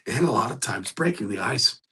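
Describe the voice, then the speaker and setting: A hoarse man hosting a motivational coaching talk, speaking in an earnest, exhorting and conversational way. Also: medium pitch, animated, fairly quick, pushed and bright. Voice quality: gravelly, husky. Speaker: host.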